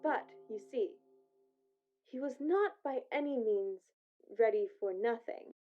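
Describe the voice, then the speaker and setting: A woman's voice: fairly high pitch, measured pace, faint music behind, close to an everyday voice. A woman playing the narrator of a radio play, telling the tale in a confiding way straight to the listener. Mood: wry, confiding. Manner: confiding, addresses the listener directly. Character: storyteller narrating a Christmas ghost tale. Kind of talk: scripted storytelling narration. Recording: thin, phone-like audio.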